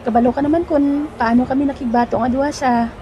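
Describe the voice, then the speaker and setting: A woman's voice: high voice, fairly even intonation, quick pace, lo-fi sound, a little hiss or hum, close to an everyday voice. A woman playing a chatty, giggly young woman who worries about her boyfriend, in an amateur audio drama. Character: cheerful young woman, lovesick girlfriend.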